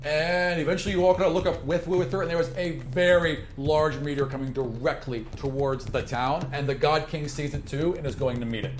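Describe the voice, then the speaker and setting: A man's voice: fairly high pitch, fairly even intonation, brisk, few pauses, music in the background. A man working as game master, improvising narration for a tabletop fantasy game.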